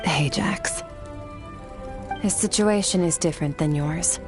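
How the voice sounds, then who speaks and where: A woman's voice: low voice, slow pace, over music. An aloof swordmaster woman. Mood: cool, measured. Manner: calm, cool, matter-of-fact. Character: aloof young woman swordmaster from another world. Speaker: game character. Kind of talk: game voice acting.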